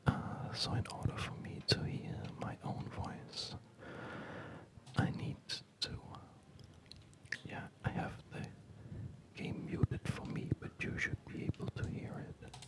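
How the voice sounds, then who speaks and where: Casting: ASMR gaming host, fully whispered, slow and hesitant, softly rambling delivery. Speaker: host playing a strategy game. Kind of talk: ASMR whispered game commentary.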